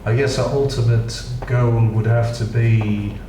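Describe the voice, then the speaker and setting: A man's voice: mid-range voice, little pitch movement, measured pace. A man with a British accent giving casual, rambling gameplay commentary with dry, self-mocking asides. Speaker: gaming channel host. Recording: echoey room, distant mic, noisy.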